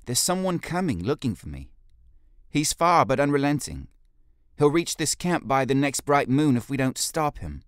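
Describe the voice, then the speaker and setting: A man's voice: mid-range voice, very animated, moderate pace, no echo, clean studio sound, voice pushed up, lightly acted. A man voicing a commanding, fierce female ape pack leader in an audiobook, curt and demanding.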